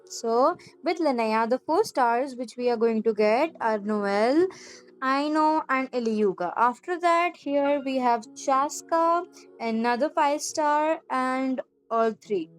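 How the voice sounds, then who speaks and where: A woman's voice: sits high, smooth. A woman hosting a gaming video, talking informally and directly to viewers in casual commentary. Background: faint game music.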